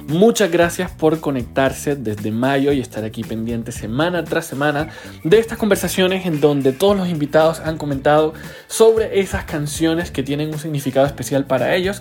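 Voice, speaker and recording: A man's voice: fairly high pitch, darkish tone. A man hosting a music podcast, with an upbeat, welcoming presenter's manner. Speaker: podcast host. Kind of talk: podcast interview.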